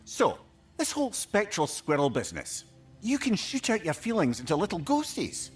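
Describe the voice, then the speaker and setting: A man's voice: sits high, wildly swooping pitch, moderate pace, slightly rough, soft background music, exaggerated voice. A man playing a plainspoken adventuring companion in a video game, blunt and colloquial with dry wit. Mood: curious, wry.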